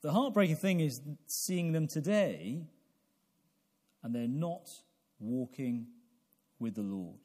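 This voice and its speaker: A man in his forties preaching a sermon in a deliberate, halting way, repeating phrases and posing questions to his listeners. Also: mid-range voice, expressive, warm tone. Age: 40s. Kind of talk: evangelical sermon with prayer.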